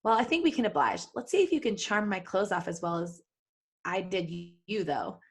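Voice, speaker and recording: A woman's voice: medium pitch, very animated, normal speed, slightly rough. A woman reading the part of a flirtatious, bold witch from a fan story in a frank, teasing manner.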